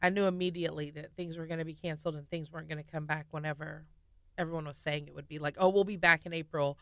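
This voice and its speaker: A woman in her thirties, a singer and nurse, telling her story with lively laughs in a casual radio studio interview. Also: low-pitched, quick pace, dry recording.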